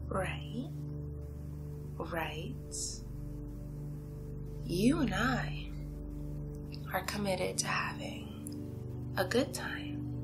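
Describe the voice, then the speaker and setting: A woman's voice: medium pitch, low-quality recording. A woman performing ASMR roleplay as a playful, possessive villainess kidnapper, teasing and cutesy as she drifts in and out of whispers.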